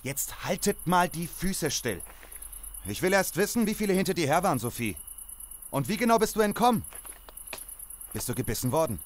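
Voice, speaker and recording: An actor playing a distrustful, hot-tempered survivor in a German zombie audio drama, stern and interrogating. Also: male voice, a little high, very animated, moderate pace, pauses often. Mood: suspicious, insistent.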